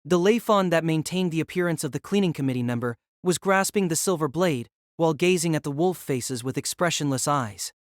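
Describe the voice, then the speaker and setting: A man narrates an audio drama from a script. His voice sits fairly high for a male, and the sound is close and dry. The delivery is dramatic storytelling. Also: a little fast, hardly any pauses, no echo.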